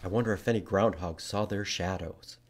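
A man in his fifties reading to young children as an animated library storytime host. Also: sits low, brisk. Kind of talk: children's storytime read-aloud. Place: indoors in a library room.

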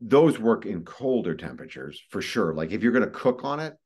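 A man's voice: lowish voice, sing-song, brisk, a little mellow, husky. A middle-aged man with an American accent giving an informal, explanatory talk on a video call, conversational and repeating phrases for emphasis. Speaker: guest expert giving a presentation. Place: indoor room, video call. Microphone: video call microphone.

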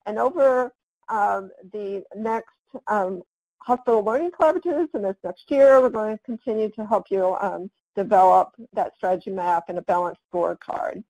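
Halting woman presenting a webinar, dry sound. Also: mid-range voice, a little mellow, no room sound, poor audio. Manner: halting, with frequent "um" fillers. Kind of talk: online webinar presentation over slides.

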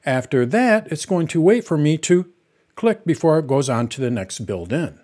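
Man calmly explaining software steps. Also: a little high, normal speed. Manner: step-by-step explaining, plain and patient. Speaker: software tutorial host. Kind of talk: screen-recorded software tutorial.

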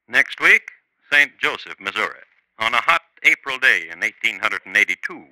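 A man playing a wry, dryly ironic crime storyteller, deliberate and theatrical, narrating in 1950s radio style. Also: on the low side, lively intonation, sharp tone, no echo, rough recording. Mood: wry, ominous. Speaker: host and narrator. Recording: vintage 1950s radio recording.

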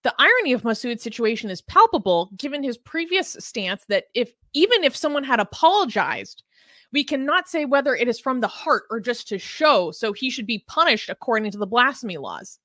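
Bright, animated young woman presenting news. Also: fairly high pitch, fairly quick, dry recording, studio quality. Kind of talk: scripted news narration. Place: home room with bookshelves. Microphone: close desk microphone.

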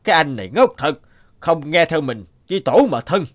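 Lazy, grumbling young woodcutter, higher-pitched. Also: male voice, sing-song, normal speed. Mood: scornful. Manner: complaining, dismissive. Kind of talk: cartoon folk-tale dialogue.

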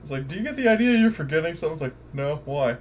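A man with a lively, swinging mid-pitched voice chats and jokes as a player in a tabletop game. Other voices are clearly audible around him. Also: racing, crowd noise. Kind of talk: casual, joking game chat.

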